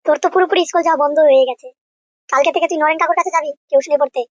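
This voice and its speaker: Man acting a high-pitched, exasperated cartoon dad. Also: fairly even intonation, rapid-fire, no room sound, dull audio. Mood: angry. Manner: scolding, sarcastic, crude. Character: exasperated, harsh father shamed by his naughty son.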